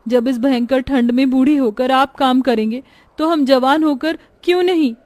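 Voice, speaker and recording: Woman voicing a high-pitched, caring young daughter. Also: moderate pace, darkish tone, no echo, lightly acted. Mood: concerned. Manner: concerned, earnest. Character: caring, dutiful young daughter of a poor father.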